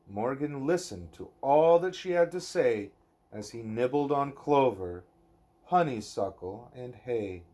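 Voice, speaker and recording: Male parent, young adult, for bedtime storybook read-aloud: unhurried, expressive, with soft casual asides to a child. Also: mid-range voice. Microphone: phone recording, held or propped nearby.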